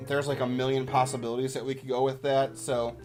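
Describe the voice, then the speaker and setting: A man's voice: medium pitch, little pitch movement, quick pace, darkish tone, faint music behind. A man giving casual, off-the-cuff commentary as a YouTuber, with an enthusiastic, rambling manner.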